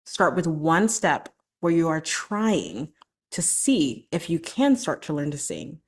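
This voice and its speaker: A woman's voice: sits low, sing-song, takes it slowly, breathy edge. A woman hosting her own podcast as a vocal coach, talking alone in a chatty, encouraging way that is full of "like" and "right?". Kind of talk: solo podcast monologue.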